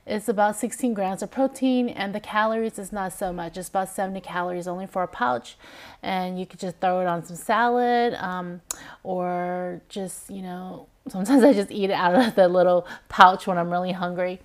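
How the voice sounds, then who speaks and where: A middle-aged woman in a home kitchen, chatting casually through her groceries while filming them herself, with lots of fillers and restarts. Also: mid-range voice. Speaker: vlogger. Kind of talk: casual grocery haul walkthrough.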